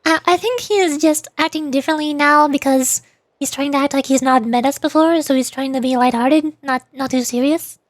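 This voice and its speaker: A woman's voice: high voice, brisk, no room sound, studio quality. A woman streaming a video game and chatting casually through gameplay commentary. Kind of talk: casual gaming livestream commentary.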